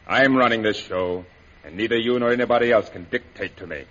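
An actor playing a menacing, imperious villain in a 1930s-style radio serial, on a muffled old recording. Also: male voice, on the low side, brisk, a bit bright, close to an everyday voice. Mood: threatening. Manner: imperious, threatening. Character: menacing, domineering villain set on ruling China.

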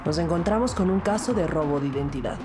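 A woman's voice: low voice, expressive, fast talker. An actress playing a composed, firm police detective who narrates her case in a scripted crime audio drama. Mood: grave narration. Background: music bed.

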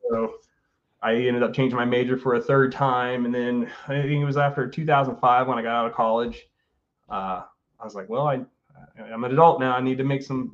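A forty-year-old man talks loosely and at length, full of ums and restarts. His voice has an ordinary middle pitch. The call audio sounds dull and lo-fi. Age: 40s. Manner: relaxed, rambling with many fillers and restarts. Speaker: guest artist. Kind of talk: casual interview chat.

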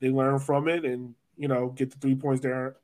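A man's voice: mid-range voice, little pitch movement, moderate pace, darkish tone. A man hosting a soccer podcast, chatting casually about the sport.

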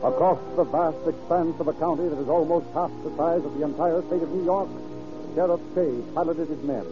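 A man's voice: a little high, a little fast, dull audio, loud music behind the voice. An American man working as a 1930s radio announcer, reading scripted narration in a formal, declamatory way. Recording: old 1930s radio broadcast recording.

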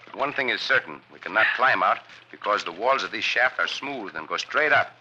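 A confident Mexican cowboy adventurer acted by a man, low-voiced and good-humored, leading in careful, formal English. It is vintage radio western acting on a muffled recording thick with noise. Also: lively intonation, brisk, lightly acted. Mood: intent. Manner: formal phrasing without contractions, commanding.

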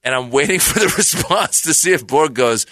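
A man from Iran, a comedian guest, telling a rambling, animated story in casual chat, with restarts and acted-out quotes. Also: fairly high pitch, moderate pace, no echo, clean studio sound.

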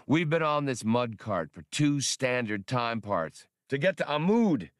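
A man voicing a restless, gruff starship pilot and gambler who complains, in an audiobook. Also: mid-range voice, very animated, unhurried, no echo, clean studio sound. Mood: grumbling.

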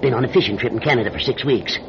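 A man's voice: mid-range voice, rapid-fire, natural voice. An American actor, a man, playing a scrawny, chatty sixty-year-old pickpocket with a folksy, wheedling manner in a radio drama. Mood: sly, ingratiating. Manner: folksy, wheedling, hesitant chuckling talk. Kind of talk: 1940s-style radio drama acting. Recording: muffled, noisy radio drama recording.

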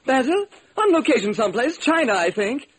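Flamboyant, high-pitched Hollywood director. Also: male voice, sing-song, fairly quick, a bit bright, breathy edge, heavily acted. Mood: gushing. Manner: gushing, dramatic. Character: flamboyant, fussy male Hollywood film director, exasperated and theatrical. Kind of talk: classic radio detective drama acting.

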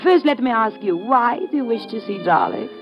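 An actress playing a coy, mysterious, mercenary young woman in 1950s radio drama style, measured and probing. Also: fairly high pitch, animated. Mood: cool, probing. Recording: dull old radio recording with music.

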